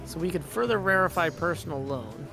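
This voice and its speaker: A man's voice: fairly high pitch, expressive, a little fast, creaky, rough recording. A man streaming a game, casually musing and deliberating aloud as he plays. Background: music.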